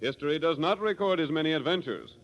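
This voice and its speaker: A man's voice: fairly high pitch, lively intonation, fast, a little hiss or hum. A man with an American accent narrating a scripted 1930s radio western in a dramatic, declamatory manner. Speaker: announcer, narrator. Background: music.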